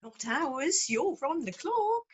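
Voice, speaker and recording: A woman's voice: sits high, sing-song, takes it slowly, noisy background, exaggerated voice. An actress playing a cheeky, breezily snide female receptionist, reading a script aloud on a video call. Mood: breezy, teasing. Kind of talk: acted script table read.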